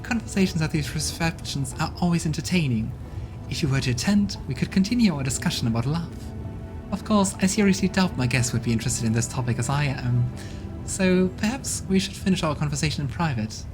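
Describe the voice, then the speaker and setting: A seductive succubus lady of the upper city, wistful and softly persuasive, as a man raises his voice to put her on. She muses in a lifted, airy register over steady background game music. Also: fairly high pitch, a little fast, close to an everyday voice. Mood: flirtatious.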